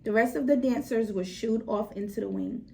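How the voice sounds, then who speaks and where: A woman's voice: a little high, normal speed, vocal fry, mellow, slight background noise, poor audio. A woman reading a children's story aloud expressively, with dramatic emphasis and direct asides to her listeners.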